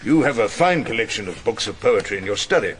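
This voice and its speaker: Wily, irascible older English male barrister: highly animated, dryly ironic radio-drama delivery over noticeable background noise. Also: quick pace. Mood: probing. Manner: theatrical, probing, dryly ironic. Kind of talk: radio drama courtroom acting and narration.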